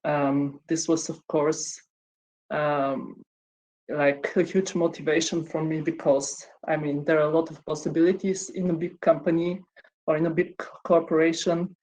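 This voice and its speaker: A woman gives a conference talk. Her pitch stays flat and level, and she often pauses on "um" and starts sentences over. Her delivery sounds measured and unhurried. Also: poor audio. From Bulgaria. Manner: hesitant, many ums and restarts. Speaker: conference speaker.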